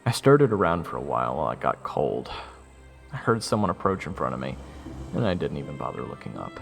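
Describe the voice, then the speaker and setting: A man's voice: extremely low pitch, big pitch swings, fast talker, vocal fry, soft background music, heavily acted. A man playing a weary, sarcastic young soldier who tells his story in a casual, exasperated way in an audio drama. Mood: wry, weary. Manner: wry, exasperated, casual first-person storytelling. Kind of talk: audio roleplay narration and dialogue.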